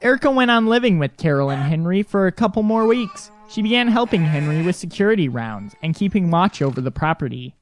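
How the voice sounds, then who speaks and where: A woman's voice: lowish voice, animated, brisk, few pauses, slight background noise. A woman reading scripted narration for an audio drama.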